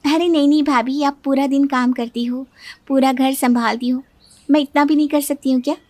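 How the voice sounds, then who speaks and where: A woman voicing a lively, easily upset young working daughter-in-law in a cartoon story. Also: high voice, normal speed, no echo, pitched above the performer's own voice, natural voice. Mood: cheerful, insistent. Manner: expressive, complaining and pleading.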